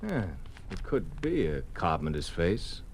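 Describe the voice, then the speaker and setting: An actor playing a brutish, scornful communist police henchman with rough, slangy tough talk in a 1950s radio drama. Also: low-pitched, sing-song, unhurried, slight background noise, full character voice. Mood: suspicious, sly. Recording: old radio broadcast recording with music.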